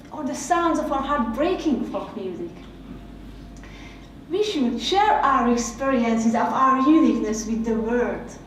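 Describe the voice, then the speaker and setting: A woman with a Hungarian accent, whose first language is Hungarian, reads a reflective essay at an unhurried, deliberate pace. Her voice sits fairly high and lingers on each phrase. It echoes heavily around the room, and the mic is set back from her. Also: distant mic, slight background noise. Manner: slow, measured, heartfelt delivery.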